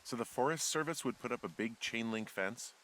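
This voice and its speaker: A man's voice: mid-range voice, brisk, fairly bright tone, close to an everyday voice, rough recording. A man playing a laid-back, middle-aged American fire lookout in a naturalistic video game, dry, understated and a little hesitant. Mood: puzzled.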